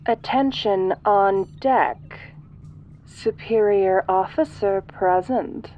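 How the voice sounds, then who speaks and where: A woman's voice: medium pitch, slow pace, muffled recording, slight background noise, natural voice. A woman voicing an impassive spaceship computer, giving formal, clipped announcements in a sci-fi audio roleplay. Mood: formal, procedural. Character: impassive female ship computer, procedural, alarm-giving machine.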